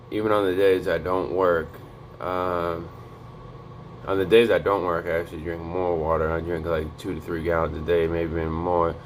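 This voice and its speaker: Young male vlogger, deep and flat, quiet casual street talk, slight background hum. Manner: low, quiet monotone to avoid waking a neighbor. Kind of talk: motivational vlog talk. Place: home in North Dakota.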